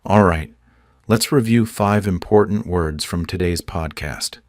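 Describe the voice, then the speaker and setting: Very deep-voiced man defining words. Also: a little slow, no room sound, studio quality. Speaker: podcast host. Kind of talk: vocabulary review.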